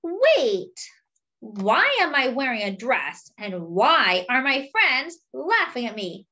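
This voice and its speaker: A woman's voice: high voice, sing-song, slow, bright, forceful tone, dull audio, pitched above the performer's own voice, full character voice. A woman voicing a big, forgetful, gullible male elephant for a children's picture book, exclaiming and then hesitantly agreeing. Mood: puzzled.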